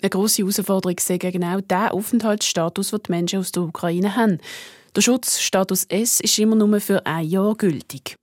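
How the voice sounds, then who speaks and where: A woman's voice: mid-range voice, steady tone, moderate pace, darkish tone, no room sound, clean studio sound. A woman with a Swiss German accent reading a scripted radio news report.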